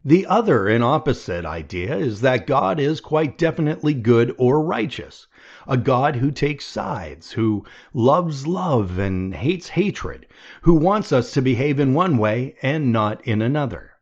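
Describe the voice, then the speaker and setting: A man reading a nonfiction audiobook aloud in a measured, deliberate way. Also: medium pitch, sing-song, highs cut off. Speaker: audiobook reader.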